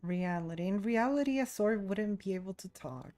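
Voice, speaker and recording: A woman's voice: mid-range voice, lively intonation, normal speed, mellow. A woman streamer giving casual gameplay commentary with offhand, joking asides. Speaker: VTuber streamer.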